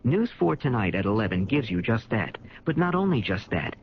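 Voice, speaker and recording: A man's voice: lowish voice, sing-song, brisk. An American man reading a scripted promotional announcement as a commercial announcer. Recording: dull, hissy old radio broadcast.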